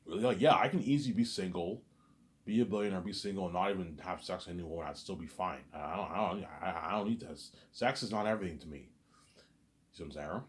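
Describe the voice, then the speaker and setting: A man talking straight to his audience in a rambling monologue, repeating himself, stumbling over restarts, and letting his asides fade quietly. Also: low voice, quick pace. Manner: repetitive, stammers on restarts, trails off quietly on asides. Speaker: solo vlogger.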